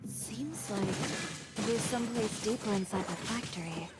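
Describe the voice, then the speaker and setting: A woman's voice: medium pitch, a little slow, lightly acted, rough recording. A woman playing a composed, blunt young fashion designer in a video game, speaking in an assured, matter-of-fact way. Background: faint game music.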